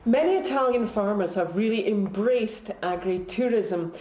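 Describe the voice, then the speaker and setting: A woman's voice: medium pitch, moderate pace, mellow, poor audio. A middle-aged woman presenting a travel show, narrating in a polished, upbeat way. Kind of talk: scripted TV narration. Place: outdoors in an alpine village. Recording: music bed under voice.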